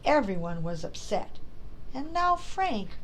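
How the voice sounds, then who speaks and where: A woman reading a children's story as an expressive narrator. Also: a little high, takes it slowly, a little hiss or hum, poor audio.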